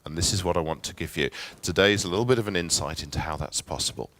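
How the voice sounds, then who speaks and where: A man's voice: low voice, fast, fairly bright tone. A middle-aged man with an English accent gives a conference workshop talk in a friendly, chatty way, with asides and filler ums. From England. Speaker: workshop presenter.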